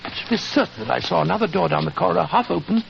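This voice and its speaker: An actor playing a bumbling older English doctor, blustery and easily flustered, in 1940s radio drama style. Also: male voice, sits high, lively intonation, racing, gravelly, exaggerated voice. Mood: storytelling. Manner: blustery, flustered, repeating himself. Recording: noisy, muffled 1940s radio recording.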